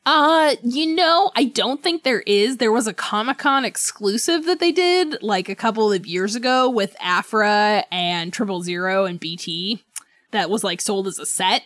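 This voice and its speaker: Woman, podcast co-host, animated intonation, informal enthusiastic fan chat, prone to giggles, dry recording. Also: mid-range voice, measured pace, no room sound. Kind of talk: casual book club chat.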